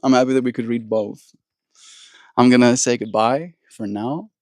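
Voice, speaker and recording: Gentle Afrikaans-speaking man, dry close sound. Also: mid-range voice, fairly even intonation, normal speed, no room sound. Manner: gentle, warm-hearted sign-offs with soft blessings. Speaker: live stream host. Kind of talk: reading a poem aloud and chatting with viewers.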